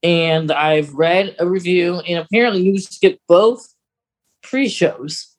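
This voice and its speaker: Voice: mid-range voice, slow pace. A podcast co-host chatting casually about fan news in a wry, conversational way.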